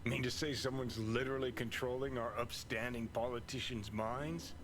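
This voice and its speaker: A sardonic rebel played by a man, mid-pitched and gruff, tossing out blunt, cynical remarks in a video game. Clear background noise sits around the voice. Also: fairly even intonation, normal speed, lightly acted. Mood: incredulous. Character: cynical, foul-mouthed male rebel companion. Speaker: game character, companion.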